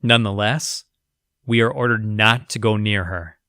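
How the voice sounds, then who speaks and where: An actor playing an educated, haunted research scientist, reading his journal for an audio drama in a formal, measured, clinical manner. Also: on the low side, steady tone, sharp tone, clean tone, no echo, clean studio sound. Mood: grim, uneasy.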